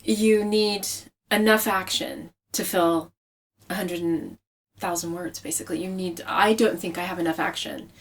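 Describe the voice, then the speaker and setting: Female author in conversation, slightly low register, explains writing craft with emphatic, repeated phrasing. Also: fairly even intonation, measured pace. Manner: explanatory, with emphatic repetition for effect. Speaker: novelist being interviewed.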